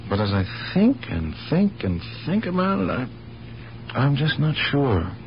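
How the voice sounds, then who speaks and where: An actor playing a calm, regretful police lieutenant in a radio drama, questioning in a measured, sympathetic way. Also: male voice, mid-range voice. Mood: troubled, probing. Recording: muffled, hissy old radio broadcast.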